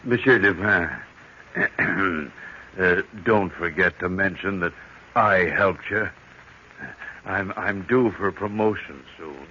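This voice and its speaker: Pompous eager cop in old radio drama, sharp voice, stammers, dull muffled sound. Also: male voice, low-pitched, slow pace, slight background noise, heavily acted. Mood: ingratiating. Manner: stammering, insinuating. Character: officious, self-important male French policeman, eager, accusing. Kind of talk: old-time radio mystery acting.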